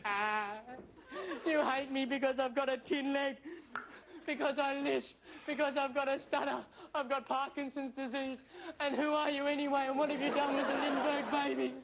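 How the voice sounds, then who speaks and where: A man's voice: extremely high pitch, flat delivery, moderate pace, crowd noise. A man performing in a sitcom, whining and ranting in a hysterical, melodramatic way. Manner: whiny, hysterical, melodramatic rants. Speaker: comedian in a sitcom. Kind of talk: scripted sitcom comedy. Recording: noisy, with loud studio audience laughter.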